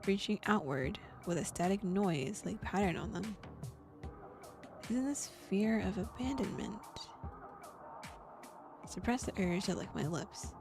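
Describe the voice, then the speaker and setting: Woman streamer w a gravelly edge, reads the game text then reacts all animated, soft music under it. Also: medium pitch. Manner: animated reactions, gasps and laughs between read passages. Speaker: streamer reading a visual novel aloud. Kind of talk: game playthrough, reading text plus reactions.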